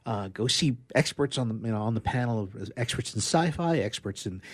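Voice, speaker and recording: A man's voice: medium pitch, expressive, a little fast, slightly rough, dry recording. A man co-hosting a radio show, enthusiastically promoting things in casual, unscripted, repetitive phrasing. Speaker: radio co-host.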